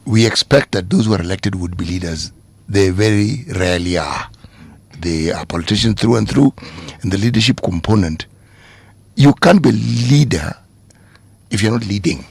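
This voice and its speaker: Deep, husky-voiced man. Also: darkish tone, dry recording. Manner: articulate, deliberate, lecturing. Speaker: radio co-host. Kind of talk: radio talk show discussion.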